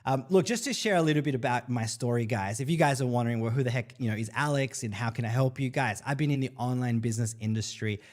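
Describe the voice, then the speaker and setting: A man in his thirties from El Salvador hosting a casual live Q&A stream, chatty and upbeat, often pausing on fillers as he reads viewer comments aloud. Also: medium pitch, a little mellow. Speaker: livestream host.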